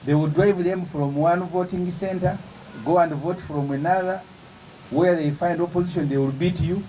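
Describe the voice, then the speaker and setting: A man, an opposition politician giving an emphatic, measured press statement with pauses between phrases. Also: sits high, steady tone, warm tone, a little hiss or hum, low-quality recording.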